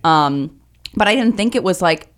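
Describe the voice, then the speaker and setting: A woman in her thirties with a lower voice, chatting as an interview guest in a loose, self-deprecating way with many fillers. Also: expressive, normal speed. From Vermont Northeast Kingdom. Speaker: guest comedian. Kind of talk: casual interview conversation.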